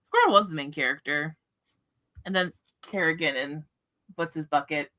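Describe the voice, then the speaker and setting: A woman hosting a casual book discussion livestream, chatting in an animated way. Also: deep voice, moderate pace.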